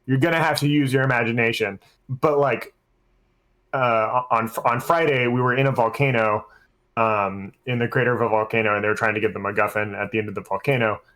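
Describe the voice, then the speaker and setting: A man's voice: medium pitch, steady tone, fairly quick, fairly bright tone, poor audio. A man co-hosting a casual tabletop gaming discussion as a game master, telling stories in a rambling, freely swearing way. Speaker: podcast co-host and game master; works at a school.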